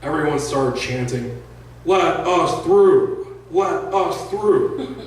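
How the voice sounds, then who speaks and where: A man's voice: on the high side, lively intonation, takes it slowly. A man who is an author reads his own first-person story aloud at a live indoor reading. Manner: raises voice for shouted dialogue. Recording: echoey room, distant mic, faint noise.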